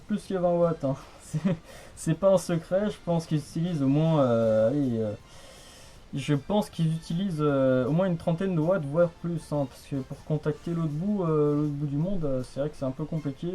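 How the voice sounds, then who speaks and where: A man's voice: fairly high pitch, normal speed, dark tone. A young man chatting casually over the radio in a rambling, repetitive, colloquial way. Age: young adult. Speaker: radio hobbyist, channel host. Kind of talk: casual radio contact chat.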